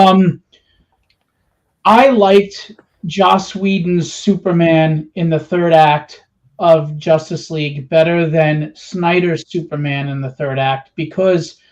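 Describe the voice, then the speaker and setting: A man with a fairly high voice talks films casually on a panel. He is opinionated and halting in delivery, and the sound is dull and lo-fi. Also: darkish tone. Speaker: roundtable panelist. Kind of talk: casual chat about films. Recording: dull lo-fi band-limited audio.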